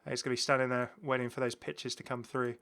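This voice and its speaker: Bright-voiced, rambling British man. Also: medium pitch, little pitch movement, fast, clean tone. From United Kingdom. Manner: rambling, self-correcting, enthusiastic. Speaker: gaming video host. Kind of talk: casual game commentary.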